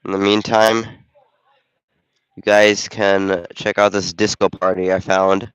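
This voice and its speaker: Congested man with a deep, smooth voice. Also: slow pace, no echo, muffled recording. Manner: relaxed, chatty, thinking aloud. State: congested from a cold. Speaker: volunteer game commentator and camera operator. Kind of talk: casual play-by-play commentary. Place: school gym during a basketball game.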